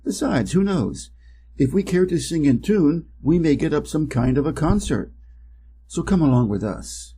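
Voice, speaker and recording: A man's voice: on the high side, lively intonation, normal speed, dark tone, a little hiss or hum, pitched above the performer's own voice. A man with an American accent voicing a friendly, encouraging old donkey in a storybook, hearty and courteous.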